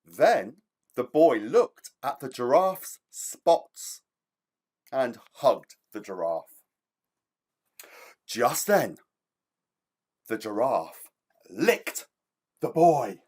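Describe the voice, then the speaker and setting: An enthusiastic man presents and reads to young children as a storyteller. His pitch swings widely and he takes his time, leaning on words with theatrical emphasis. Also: sing-song, extremely slow, long pauses, darkish tone, husky. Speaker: children's author reading a child's story aloud.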